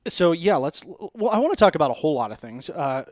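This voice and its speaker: A man's voice: fairly high pitch, sing-song, fast, darkish tone, no room sound, clean studio sound. Upbeat man hosting a parenting podcast. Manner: jokey, upbeat, swears casually. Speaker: podcast host. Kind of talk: casual podcast chat. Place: living room at home.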